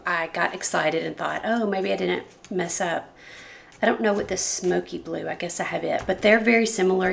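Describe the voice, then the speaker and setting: A woman in her thirties with a scratchy, hoarse voice, chatting casually about her art supplies at a desk in her home studio, often correcting herself and trailing into soft asides. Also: on the low side. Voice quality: scratchy, gravelly voice. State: hoarse from allergies. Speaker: art vlogger. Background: faint room noise, dog scratching.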